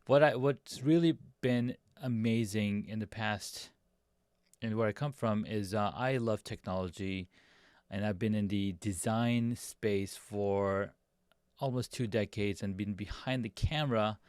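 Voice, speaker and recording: Smooth low-voiced man telling his story. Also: a little slow, darkish tone, no room sound, studio quality. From grew up in Pakistan, Saudi Arabia and the US. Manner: upbeat, chatty, talks with some restarts. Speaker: guest, designer and videographer. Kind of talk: casual storytelling on a video podcast.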